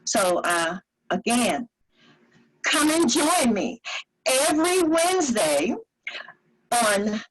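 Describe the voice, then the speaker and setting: A woman, a vocal performer promoting her classes, who talks haltingly with many fillers. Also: on the high side, very animated, low-quality recording. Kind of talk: online presentation. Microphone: video call.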